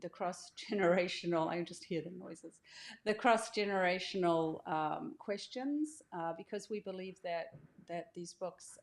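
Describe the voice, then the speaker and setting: A woman's voice: lowish voice, a little slow. An older woman speaking as an author and host, casually explaining things in an encouraging, inviting way. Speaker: author hosting a welcome video.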